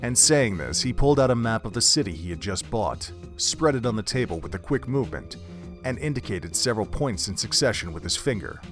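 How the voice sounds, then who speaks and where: A man's voice: fairly low pitch, animated, brisk, fairly bright tone, faint music behind. A man reading scripted story narration as the narrator of an audio drama.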